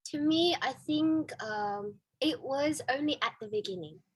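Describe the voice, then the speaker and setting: A woman's voice: sits high, unhurried, no echo, low-quality recording. A young woman from Vietnam speaking English with a Vietnamese accent, chatting candidly as an interview guest with many fillers and restarts. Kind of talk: casual interview chat.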